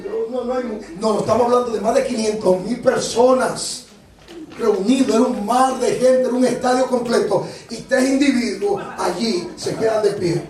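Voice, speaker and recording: A man preaching a lively sermon with a Dominican accent, animated and joking with the congregation. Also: extremely high pitch, moderate pace. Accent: Dominican Spanish. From Dominican Republic. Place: church hall. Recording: echoey hall from far off, congregation.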